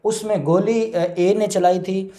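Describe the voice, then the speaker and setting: A man's voice: high voice, fairly even intonation, slow, warm tone. A Pakistani man speaking as a lawyer, explaining legal points informally, repeating key phrases and mixing in English terms. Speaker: lawyer, guest expert. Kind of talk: informal legal explanation in question-and-answer show.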